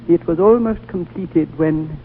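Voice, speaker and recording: A very old, gentle holy man with a fairly high voice, telling things slowly and solemnly. It is vintage radio drama, with a thin, band-limited sound and some background noise. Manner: measured, solemn, deliberate storytelling. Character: ancient, wise, gentle male High Lama. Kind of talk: 1940s radio drama acting. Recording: tinny, noisy old 1940s radio recording.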